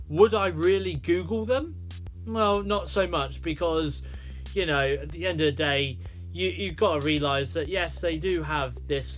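A man's voice: a little high, a bit bright. A British man hosting a solo podcast, rambling with many fillers and restarts. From United Kingdom. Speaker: podcast host.

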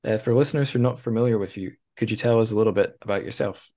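A man's voice: on the low side, very fast, dark tone. A man hosting a podcast in a casual, chatty way with wry asides. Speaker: podcast host.

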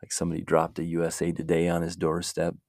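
A man with a very deep, gravelly voice hosts a casual talk, rambling slowly and thinking aloud as he goes. The sound is dry and close. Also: monotone, a little mellow, no room sound. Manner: meandering, muses aloud while browsing. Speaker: podcast host.

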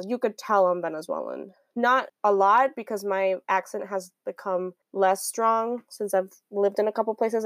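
A young woman with a Miami accent talks things through slowly and reflectively, circling back and restarting her sentences as she thinks. Her voice sits at an ordinary middle pitch. The sound is close and dry, with almost no room echo. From Miami, Florida, USA. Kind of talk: casual conversation.

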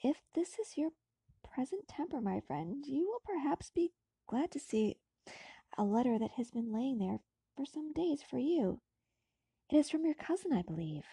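A woman's voice: sits high, sing-song, moderate pace, mellow, no room sound, voice pushed up, rough recording. A woman voicing a caring young male friend in a storybook, soothing and formal. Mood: kindly.

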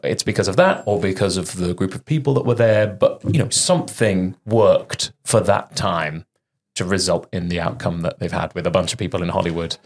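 A man with a low voice hosts a relaxed talk show. He thinks out loud in long, winding sentences, reflecting as he speaks. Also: brisk. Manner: reflective, long rambling thoughts. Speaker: podcast host. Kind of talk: casual interview chat.

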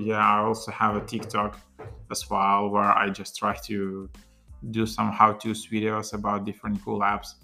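A man's voice: fairly low pitch, vocal fry, pushed and bright. A young man from Ukraine, a non-native English speaker, hosting a solo tech podcast in a rambling, casual way with many fillers and restarts. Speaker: podcast host.

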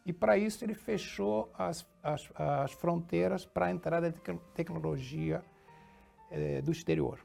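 A man's voice: fairly high pitch, a little slow, creaky, a little mellow, faint music behind. A middle-aged or older man, telling his story casually as an interview guest, with rambling asides and frequent tag questions. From born in Portugal, grew up in Rio de Janeiro, Brazil. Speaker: interview guest, technology executive.

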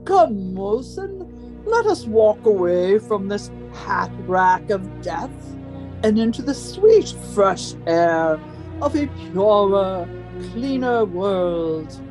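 A woman playing a pompous amateur detective in a comic radio murder mystery, declaiming deliberately and theatrically. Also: medium pitch, big pitch swings, over music. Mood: grandly solemn.

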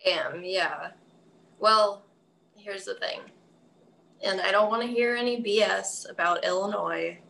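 A woman's voice: medium pitch, measured pace, vocal fry, pauses often, sharp tone, slight background noise, low-quality recording. A young woman as an interview guest, chatty and giggly, peppering her talk with "like". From Milwaukee area, USA. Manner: chatty, giggly, says "like" often. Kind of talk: casual interview chat.

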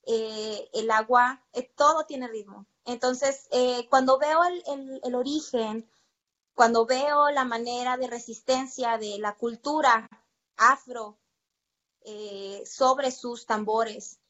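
Female podcast guest, Mexican accent, fairly high voice, emphatic conversational storyteller, slightly muffled sound. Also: steady tone, slow pace, fairly bright tone. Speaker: interview guest, percussion teacher.

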